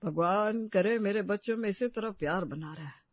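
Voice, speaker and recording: Casting: man to play older patriarch for a family audio story, high pitch, plain-spoken, practical, dry slightly dull sound. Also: moderate pace, no room sound, natural voice. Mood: earnest. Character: elderly family patriarch, caring, practical father-in-law. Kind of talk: Hindi audio story acting.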